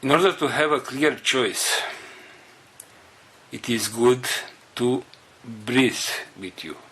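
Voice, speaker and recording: A man whose first language is Romanian, leading a spiritual group and giving an unscripted talk in slow, halting fragments. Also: medium pitch, a bit bright, breathy edge.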